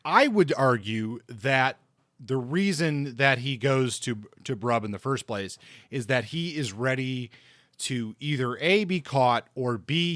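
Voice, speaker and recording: A man's voice: medium pitch, fairly bright tone, no room sound. Man casually discussing books, dry close sound. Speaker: podcast co-host.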